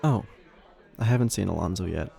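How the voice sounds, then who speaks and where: A man playing a casual, slightly defensive younger helper in an audio drama, speaking a little hesitantly in a low, creaky voice. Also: brisk, a crowd in the background. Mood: casual, uneasy. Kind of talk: audio roleplay acting.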